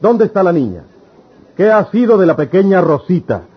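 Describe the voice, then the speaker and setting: Indignant villager, muffled radio drama. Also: male voice, high voice, sing-song, moderate pace. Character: indignant, sarcastic accusing villager. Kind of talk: old-fashioned radionovela acting. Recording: dull lo-fi radio serial with noise.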